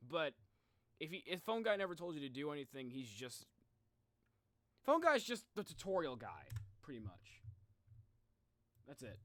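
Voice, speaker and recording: A young man chatting casually to viewers on a livestream in an informal, animated way. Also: a little high, moderate pace, pauses often. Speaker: live streamer.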